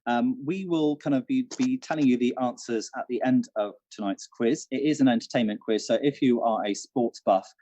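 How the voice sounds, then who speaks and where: A man's voice: mid-range voice, moderate pace, few pauses, dark tone, no echo. A British man co-hosting a quiz with casual chat and announcements, recorded with a dull, muffled sound. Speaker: quiz co-host, venue manager.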